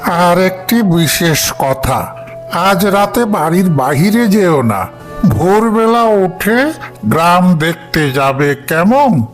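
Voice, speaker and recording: A man voicing a caring elderly village grandfather in a cartoon, gentle, doting and cautioning. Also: high-pitched, very animated, slow pace, faint music behind, heavily acted. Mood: gently cautioning. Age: older. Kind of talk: cartoon voice acting.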